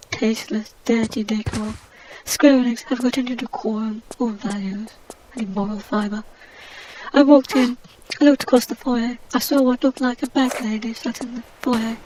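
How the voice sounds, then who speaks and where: A woman putting on a pampered, high-voiced English adventuress who moans with grand indignation about every slight. She speaks in a snooty, theatrical way, full of disdain. A faint hiss sits under the recording. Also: darkish tone, close to an everyday voice, low-quality recording. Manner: indignant, snobbish, theatrical complaining. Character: aggrieved posh English woman adventurer, snobbish and melodramatic. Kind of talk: comic monologue in character.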